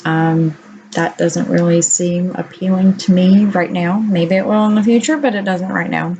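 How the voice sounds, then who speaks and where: A woman with a naturally deep voice talking candidly at home to her camera in a casual video diary, unhurried and full of ums. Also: steady tone, warm tone, lo-fi sound, slight background noise. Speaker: vlogger.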